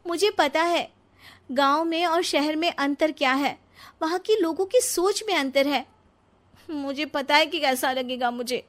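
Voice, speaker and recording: A woman voicing a young city-bred bride in a cartoon story, expressive and exclamatory, doubtful and a little scheming. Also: high-pitched, unhurried. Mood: doubtful, whiny.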